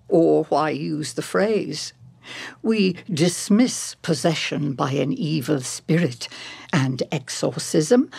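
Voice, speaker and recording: A woman counselor in a radio drama, with a husky, creaky voice. She speaks deliberately and presses with searching, rhetorical questions. Her delivery is unhurried and insistent. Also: on the low side, darkish tone, no echo, exaggerated voice.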